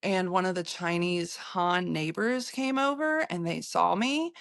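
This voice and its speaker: An American woman, a photographer, recounting her travels in an interview, halting often with fillers and restarts. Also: lowish voice, vocal fry, fairly bright tone, no echo, clean studio sound. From United States.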